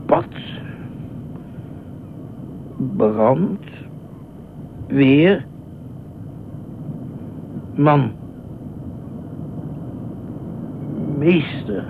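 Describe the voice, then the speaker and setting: An actor in a Dutch radio play as an anxious, obsessive widowed ex-fireman who speaks haltingly, repeats himself and nitpicks. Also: expressive, gravelly, exaggerated voice. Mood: earnest, rambling. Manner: halting, repetitive, pedantic, fretful. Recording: muffled narrow-band old radio recording, faint rumble.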